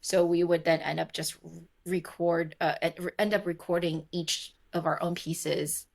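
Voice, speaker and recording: A woman's voice: lowish voice, little pitch movement, moderate pace, vocal fry, darkish tone. A woman guest in a remote interview, talking casually with lots of "like" and "right?", laughing easily. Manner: chatty, heavy on fillers like "like" and "right?". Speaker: guest, tech investor and former podcaster. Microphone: remote call recording.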